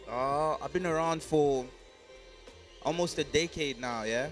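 A man's voice: a little high, a little slow. Slangy young man over music. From Congo, grew up across Africa. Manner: slangy, frequent "you know what I mean" tags. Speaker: podcast guest, musical artist. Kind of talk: casual interview chat.

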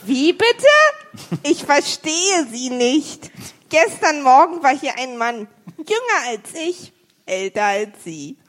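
A woman playing a confused, hard-of-hearing old lady in a comedic audio play, talking slowly and loudly. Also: high-pitched, very animated, exaggerated voice. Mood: puzzled. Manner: mishears, confused, slow and loud.

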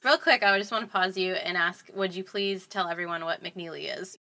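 A woman hosting a podcast, asking casual interview questions and halting as she searches for words. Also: fairly low pitch, sharp tone. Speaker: podcast host.

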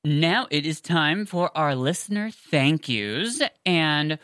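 Female co-host, bright crisp voice, close dry sound, chatty and effusive fan-podcast delivery. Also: low-pitched, expressive, slow, no echo. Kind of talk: casual fan podcast chat.

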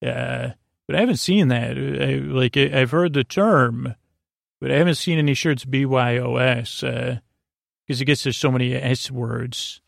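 Creaky-voiced man, rambling bedtime podcast host. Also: mid-range voice, no room sound, studio quality. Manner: meandering, halting, goofy; lulling, soothing delivery.

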